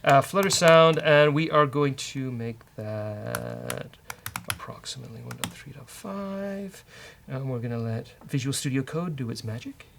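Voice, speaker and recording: A man with an Irish accent hosting a casual live-coding demo, dry, teasing and halting. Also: medium pitch, lively intonation, gravelly, noisy background. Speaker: host, software developer.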